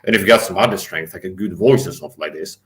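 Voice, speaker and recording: A man's voice: low-pitched, very fast, fairly bright tone. A man ranting angrily on a livestream, defiant and profane, talking straight at his listeners. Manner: angry, defiant, profane, repetitive; addresses listeners directly and challenges them. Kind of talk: ranting live monologue.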